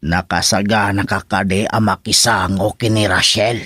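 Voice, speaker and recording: A man's voice: on the low side, little pitch movement, normal speed, pushed and bright, breathy edge, no room sound, studio quality. An actor playing a concerned, firm father talking to his daughter in Ilocano radio drama style, with an Ilocano accent.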